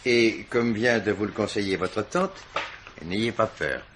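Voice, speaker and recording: An actor playing a formal, persistent police commissioner questioning witnesses in a French radio mystery play. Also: male voice, lowish voice, fairly bright tone, slight background noise. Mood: formal, insistent. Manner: formal, insistent questioning with deliberate hesitations.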